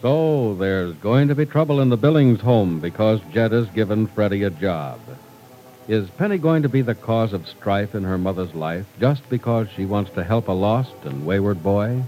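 A man's voice: on the low side, lively intonation, moderate pace, dark tone, soft background music, low-quality recording. A man working as a 1940s radio announcer, reading scripted copy in a polished, emphatic way.

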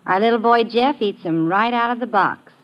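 A woman's voice: on the high side, moderate pace. A woman with a Southern Kentucky accent, reading a scripted homemaker's testimonial for a 1950s radio advertisement. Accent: Kentucky Southern American. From Kentucky, United States. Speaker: announcer's wife giving testimonial. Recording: dull old radio recording.

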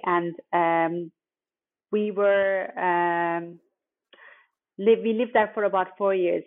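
Woman on a tinny line. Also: fairly low pitch. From Turkey. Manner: hesitant, many pauses and restarts. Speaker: guest author. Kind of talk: podcast interview answers.

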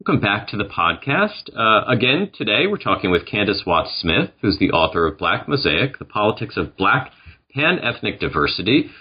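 Guy hosting a podcast interview, very animated pitch, polite and chatty, kinda muffled lofi sound. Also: normal speed, breathy edge.

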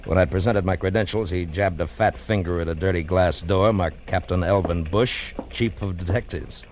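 Deep-voiced hard-boiled detective played by an actor. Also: male voice, moderate pace, darkish tone, lightly acted. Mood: brooding, wry. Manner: terse, wry, hard-boiled. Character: tough, hard-boiled male private detective narrating his case. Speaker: private detective and narrator. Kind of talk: 1940s radio noir acting, first-person narration. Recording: vintage radio recording with music underneath.

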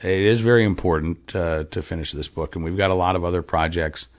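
A man's voice: low voice, steady tone, fairly quick. A man in his forties hosting his own podcast, talking casually with lots of fillers and restarts. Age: 40s. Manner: rambling, frequent fillers and restarts. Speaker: podcast host. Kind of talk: casual monologue. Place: farm.

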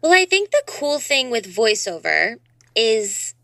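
A woman's voice: a little high, sing-song, unhurried, vocal fry, pushed and bright, dry recording, studio quality. A young woman in her twenties with a youthful voice, chatting freely as an interview guest. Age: 20s. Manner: youthful, upbeat, talks freely with many "you know"s. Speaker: guest, dancer and voice actor. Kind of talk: casual interview chat.

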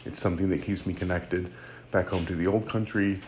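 A man's voice: sits low, steady tone, a little fast, darkish tone, a little hiss or hum. A man, hosting a casual solo sports podcast and rambling with fillers and self-corrections. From United States. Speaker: podcast host.

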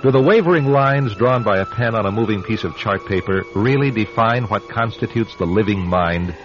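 A man hosting a radio drama with solemn, rhetorical scripted narration, measured and dramatic. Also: on the low side. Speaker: radio drama host and announcer. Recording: dull lo-fi radio recording with music.

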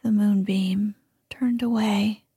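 A woman telling a fairy tale in a slow, soothing whisper, as whispered ASMR storytelling. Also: medium pitch, fairly even intonation, mellow, slightly rough, dry recording, clean studio sound.

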